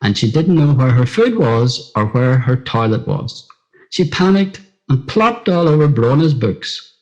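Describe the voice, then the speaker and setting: Middle-aged man, Northern Irish accent, medium pitch, scripted personal narration, plain-spoken storyteller. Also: big pitch swings, measured pace, a little mellow, highs cut off. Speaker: writer reading own story.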